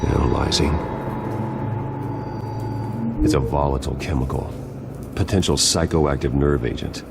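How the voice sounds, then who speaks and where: An actor playing a brooding, terse, commanding masked vigilante detective in a dramatic video game, with a gravelly voice. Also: male voice, steady tone, a little fast, loud music behind the voice. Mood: grim, focused. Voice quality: gravelly, creaky low voice.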